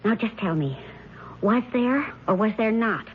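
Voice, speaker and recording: An actress in an old radio drama playing an anguished but determined wife, speaking earnestly and deliberately. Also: female voice, mid-range voice, animated, close to an everyday voice. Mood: urgent, determined. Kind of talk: 1970s radio drama acting. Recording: dull, noisy old radio broadcast.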